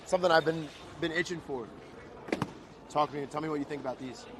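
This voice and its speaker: A man's voice: on the high side, quick pace. Hyped man hosting outdoors, noisy street. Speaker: host. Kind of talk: casual livestream chat.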